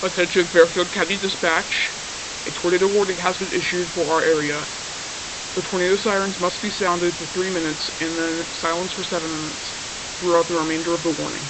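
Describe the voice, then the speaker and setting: A man's voice: high-pitched, level tone, a little fast. A man delivering a scripted emergency announcement as a dispatcher, with hissy static on the recording. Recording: hissy, staticky noisy audio.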